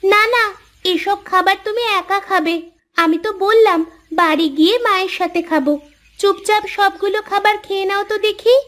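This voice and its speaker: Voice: very high-pitched, normal speed, pushed and bright. A performer voicing a cheeky, affectionate young farmer's daughter in a moral-story cartoon, teasing and a little bossy. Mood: fond scolding.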